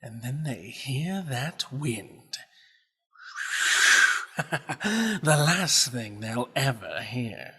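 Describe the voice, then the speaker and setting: A man playing a falsely polite, menacing villain in an audio drama, with a husky voice. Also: medium pitch, lively intonation, very slow, pauses often, fairly bright tone, heavily acted. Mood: gloating menace. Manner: mock-courteous, menacing, savoring threats. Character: taunting, falsely polite villain who controls a deadly elemental.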